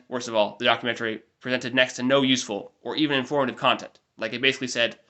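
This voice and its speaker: A man's voice: medium pitch, pushed and bright. A nasal young man giving a wry, rambling recap of a family trip in mock-formal wording. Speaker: vlogger.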